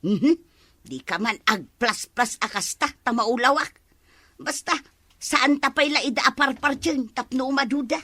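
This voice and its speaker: An actress playing an excitable, nagging village woman in a Filipino radio comedy drama, with an Ilocano accent. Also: sits high, a little slow, no room sound, full character voice. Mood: nagging, teasing. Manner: animated, exclaiming, nagging.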